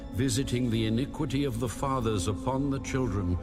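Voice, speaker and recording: A man narrating scripture in a solemn, measured, authoritative style, as in a dramatized audio Bible. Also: medium pitch. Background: loud music.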